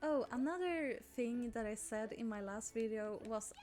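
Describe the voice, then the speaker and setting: A woman's voice: on the high side, normal speed, darkish tone. A young Swedish woman with a Swedish accent, chatting and rambling over a game she plays, often apologizing and squealing over cute things. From Sweden. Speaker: gaming YouTuber. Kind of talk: casual let's play commentary. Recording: faint game music behind.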